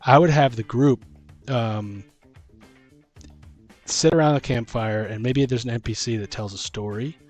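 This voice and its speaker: Male podcast host, mid-pitched, casual tabletop gaming chat, rambling delivery, light music bed. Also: unhurried, long pauses. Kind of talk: casual discussion of tabletop role-playing games.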